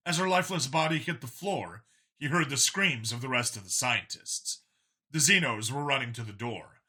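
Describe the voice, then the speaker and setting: A man's voice: medium pitch, pushed and bright. A man narrating a story in a dramatic scripted reading style.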